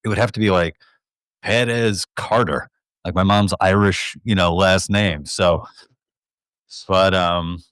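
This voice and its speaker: A man with a lowish voice hosts a podcast and chats in a loose, irreverent way with a joking edge. The sound is close and dry. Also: steady tone, slow, pauses often, fairly bright tone, clean tone, no echo. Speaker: podcast host. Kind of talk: casual chat.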